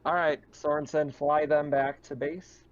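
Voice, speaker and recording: A man's voice: fairly high pitch, little pitch movement, measured pace. Man running a tabletop game, muffled audio. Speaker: game master. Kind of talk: casual tabletop game narration.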